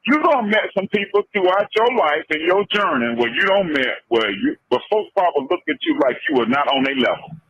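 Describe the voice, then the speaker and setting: A man's voice: sits high, wildly swooping pitch, fairly quick, vocal fry, few pauses, husky, no echo. A man talking casually on a phone call, emphatic and often repeating his phrases. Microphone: telephone. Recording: dull, lo-fi jail phone call.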